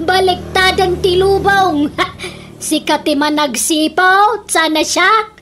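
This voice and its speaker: Casting: actress as nosy, quarrelsome village woman, Ilocano accent, very high voice, animated comic radio drama, slight noise. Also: slow, heavily acted. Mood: scornful, bickering. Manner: animated, teasing, bickering. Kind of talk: comedic radio drama acting.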